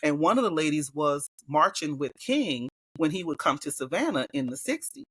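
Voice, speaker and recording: A woman from the South Carolina Lowcountry with a Gullah Geechee accent, talking as a podcast guest. She tells stories in a relaxed, unhurried way and slips into other people's voices when she quotes them. Her voice is recorded close up and dry, with no room sound around it. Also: slightly rough, no room sound. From Hilton Head Island, South Carolina. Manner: relaxed storytelling, quoting others in lively voices. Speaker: guest educator. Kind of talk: casual interview conversation.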